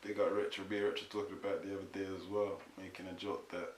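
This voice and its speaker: A man's voice: deep voice, level tone, fast talker. A British man hosting an interview in a casual, reflective, informal way. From England. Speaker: host, interviewer. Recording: roomy echo, faint hiss.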